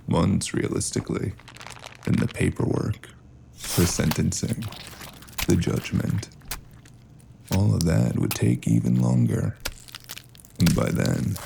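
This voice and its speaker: A man's voice: deep voice, gravelly, noisy background, heavily acted. A man playing a cold, calculating killer who narrates a cyberpunk noir audio drama in ominous, clipped phrases.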